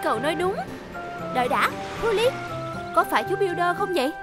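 Casting: a polite, caring girl helper for a cartoon, high voice, music underneath. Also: sing-song, brisk. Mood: concerned. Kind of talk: Vietnamese cartoon dub.

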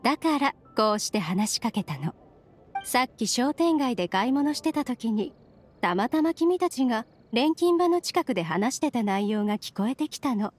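A woman voicing a courteous, mysterious traveller in an anime game, composed and measured. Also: a little high, animated. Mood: cool, courteous. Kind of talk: anime game character acting. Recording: game music behind the voice.

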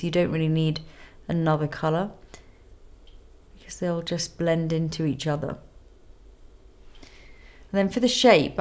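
Woman in her thirties, nasal from a cold, lowish voice, calm makeup tutorial, studio. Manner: calm step-by-step instruction while applying makeup. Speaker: makeup artist. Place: indoor studio with plain grey backdrop.

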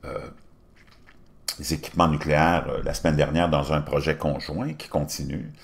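A man in his fifties with a Québécois accent, reading news articles aloud and commenting on them, bursting into loud emphasis and then dropping to low muttered asides. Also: steady tone, normal speed, vocal fry. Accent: Québécois French. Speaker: commentary channel host. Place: home studio with virtual backdrop.

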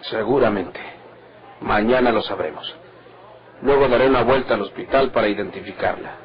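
A man acting a formal, brisk public prosecutor questioning witnesses in an old Mexican radio drama. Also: on the low side, breathy edge. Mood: formal, commanding. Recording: dull vintage radio recording.

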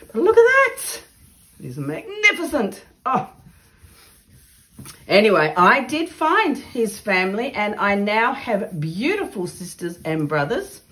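Chatty Australian woman in her studio. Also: mid-range voice. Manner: chatty, laughing, exclaims over her work. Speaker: mixed media artist. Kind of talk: casual craft demo chat. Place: home art studio, indoors.

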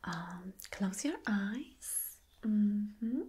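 A woman in her thirties playing a flirty, soothing boss in a soft, breathy, whispered ASMR roleplay, gentle and coaxing. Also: mid-range voice, animated. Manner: gentle, coaxing, slow and deliberate. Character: flirty, soothing female boss reassuring an employee. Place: small dim indoor room.

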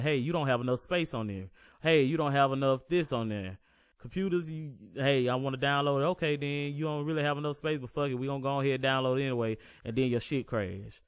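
Casting: man with a deep voice, relaxed slangy tutorial host, swears casually, dry close mic. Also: dry recording. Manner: laid-back, profane, reassuring. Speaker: home recording tutorial host.

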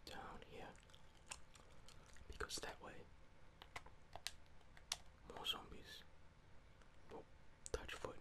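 A man's voice: drawn-out words, long pauses. A young man whispering casual, sweary ASMR gaming commentary to his viewers, close to the microphone. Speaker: gaming streamer.

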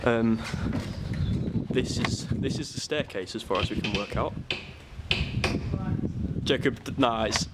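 A man's voice: medium pitch, unhurried, pauses often. Chatty young man exploring. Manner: chatty, swearing, self-narrating as he explores. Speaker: vlogger. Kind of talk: casual exploring vlog. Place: abandoned buildings, in and out of doors. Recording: handheld camera with background noise.

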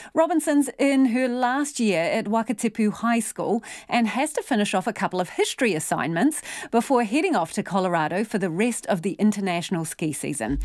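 A middle-aged woman presenting the news in a small studio, reading a script smoothly and steadily. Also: mid-range voice, darkish tone, dry recording.

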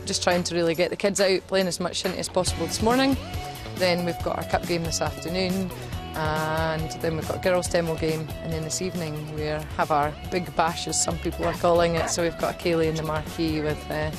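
A woman in her thirties talks casually on an outdoor sports field. Her voice is on the low side, with clear music behind it. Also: fairly even intonation, fairly quick, hardly any pauses. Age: 30s. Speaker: shinty club founder. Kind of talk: casual interview. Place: open grass sports field.

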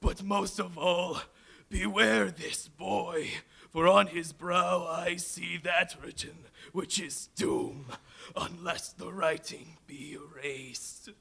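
Stage spirit near death: solemn, slow, gravelly, bright edge. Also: male voice, high-pitched, fairly even intonation, full character voice. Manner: solemn, then anguished shouting. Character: gaunt dying male Christmas Present spirit. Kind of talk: live stage play. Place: large hall with audience.

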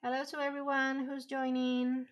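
A woman's voice: sits high, monotone, normal speed, dull audio. Woman chatting while she draws. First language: Spanish. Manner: rambling, many fillers and restarts, self-deprecating laughs. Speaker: artist streaming a drawing session. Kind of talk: casual chat while drawing. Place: home room, indoors.

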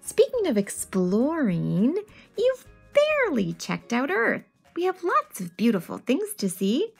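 Woman playing an animated, exasperated math skeptic. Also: high-pitched, unhurried, vocal fry, soft background music, voice pushed up, full character voice. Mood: exasperated, dramatic. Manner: dramatic, grumbling, emphatic. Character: exasperated math-skeptic reader arguing with an alien. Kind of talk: picture book read-aloud.

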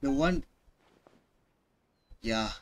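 A man's voice: mid-range voice, expressive, very slow. A man giving casual live reaction commentary as a streamer, musing aloud and piecing things together as he goes.

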